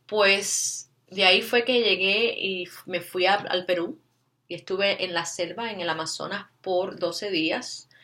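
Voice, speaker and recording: A woman's voice: mid-range voice, measured pace, bright, forceful tone. A woman from Cuba with a Cuban Spanish accent, telling a personal story to her channel's viewers and dropping English fillers and frequent tag questions into her Spanish. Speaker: vlogger.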